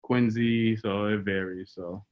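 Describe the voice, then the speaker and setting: A young man talks about himself in a low, unhurried voice, pausing on plenty of ums. The recording is a bit dull and lo-fi. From Boston, US. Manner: relaxed, hesitant, many ums. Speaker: business co-owner, guest. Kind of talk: casual interview answers. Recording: dull lo-fi sound.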